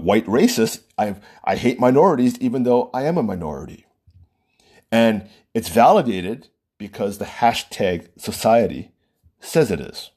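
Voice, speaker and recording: A man delivering a one-person opinion commentary. His pitch swings widely as he talks, and his voice sits on the mellow, slightly husky side. He often restarts phrases and keeps asking the listener "right?". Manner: lively pitch swings, frequent "right?" and "you know", self-interrupting restarts.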